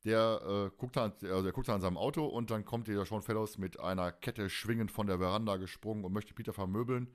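A man's voice: fairly low pitch, quick pace, few pauses. A man co-hosting a podcast, recapping a story in casual, rambling colloquial talk that often doubles back on its phrases. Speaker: podcast co-host. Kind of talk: casual podcast chat, recapping an audio drama.

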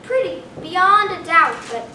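A woman's voice: extremely high pitch, sing-song, measured pace, bright, forceful tone, heavily acted. A young female performer playing a dramatic, macabre little girl in a staged play reading, declaiming theatrically. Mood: macabre relish. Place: small hall, staged reading before an audience. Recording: roomy distant camera recording, faint noise.

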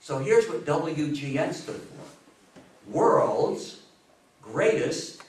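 An American man in his sixties giving an interactive public talk, animated and theatrical, full of rhetorical questions. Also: fairly high pitch, very slow. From New York State, US. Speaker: lecturer giving a history talk. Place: small meeting room with seated audience. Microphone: far camera. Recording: echoey room, distant camera microphone.

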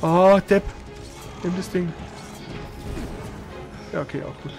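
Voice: mid-range voice, slow pace, darkish tone, soft background music. A gamer giving casual let's play commentary, grumbling and swearing in a slangy way.

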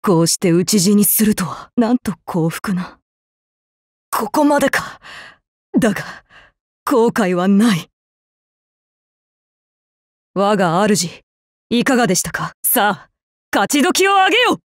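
A woman's voice: medium pitch, sing-song, slow pace, pauses often, no room sound, clean studio sound. A woman voicing a courteous, gallant young male masked general in a video game, speaking in formal, noble martial declarations. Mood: resigned, serene, then calm, resolute. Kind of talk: Japanese game battle voice lines.

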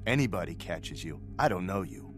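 Grumpy wisecracking crooked port officer, game character, lowish voice, slangy n impatient, music under it. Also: male voice, expressive, moderate pace. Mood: brusque, wary. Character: irritable, wisecracking crooked male port officer selling secrets. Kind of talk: video game voice acting. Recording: music playing behind.

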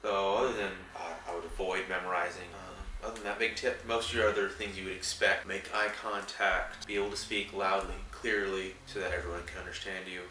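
A young man vlogging casually to camera, a little raspy from a cold, rambling with many fillers in a small room at night. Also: deep voice, flat delivery, fairly quick, few pauses, bright, forceful tone. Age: young adult. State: recovering from a cold, slightly raspy. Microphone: camera a few feet away. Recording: roomy, distant mic, faint buzzing hum.

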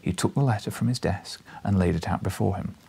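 A man in his thirties narrating an audiobook in a dramatic, expressive storytelling style. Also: low voice, fairly quick, vocal fry, breathy edge. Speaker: audiobook reader. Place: small living room, indoors, seated in armchair.

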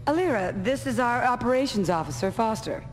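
A woman's voice: fairly high pitch, lively intonation, brisk, in a large hall, slight background noise, lightly acted, rough recording. An actress playing a tough, terse female military major in a video game cutscene, speaking with dry authority. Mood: dry, wary.

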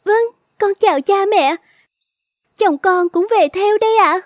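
A woman voicing a gentle, pleading young ghost bride in an animated folktale, with polite old-fashioned speech. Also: very high-pitched, a little slow, no echo, clean studio sound. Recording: music under the voice.

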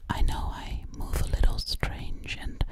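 A young woman playing a gentle, curious alien girl in an ASMR roleplay, whispering breathily very close to the mic and softly repeating her phrases. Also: close to an everyday voice. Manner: slow, soothing, repeats words and phrases. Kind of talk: ASMR personal-attention roleplay. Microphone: very close to a large microphone.

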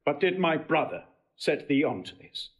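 An actor playing a commanding, quick-witted prince in classical Shakespearean audio drama. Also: male voice, fairly high pitch, very animated, husky, exaggerated voice. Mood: grave. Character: wry, commanding noble prince. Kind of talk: classical Shakespearean radio acting.

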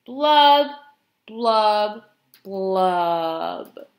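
A woman's voice: mid-range voice, expressive, drawn-out words, roomy sound. A woman voicing a gloomy, resigned male fish from a storybook, in mournful singsong rhyme.

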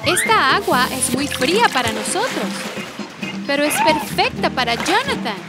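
Very high-voiced cartoon girl explorer. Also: big pitch swings, moderate pace. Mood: earnest, explaining. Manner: explanatory, earnest, commanding. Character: bright, take-charge young girl explorer who explains things. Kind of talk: animated children's cartoon dubbing. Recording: loud music behind the voice.

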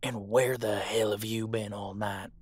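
A man playing a gruff, scornful veteran monster hunter in an audio roleplay, folksy and bossy. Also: lowish voice, fairly even intonation, unhurried, dry recording. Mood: irritated.

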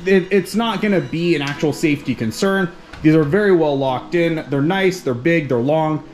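A fairly high-voiced man from Canada talks casually as he unboxes gear in his home gym. His tone is chatty and unhurried, with the odd restart while his hands are busy. Soft music plays faintly in the background. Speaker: fitness vlogger.

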